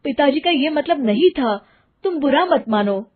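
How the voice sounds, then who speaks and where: A woman's voice: sits high, expressive, moderate pace, phone-quality sound, voice pushed up. A woman voicing a meek, obedient young man, a son who speaks in a mild, deferential way. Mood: placating.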